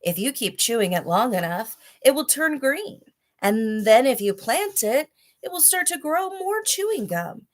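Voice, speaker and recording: A woman's voice: sits high, big pitch swings, measured pace, pitched above the performer's own voice. Woman voicing a clever, persuasive storybook boy. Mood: persuasive, urgent. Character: clever young boy bargaining for his life. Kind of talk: storybook read-aloud.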